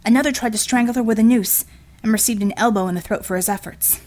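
A woman's voice: on the high side, fast talker, a little mellow. A woman reading a scripted action story as a dramatic audiobook narrator.

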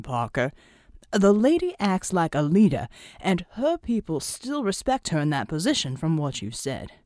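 A woman's voice: deep voice, a little mellow, dry recording, studio quality. A woman voicing a stern, by-the-book male superhero officer with a formal, firm and reproachful manner in an audiobook.

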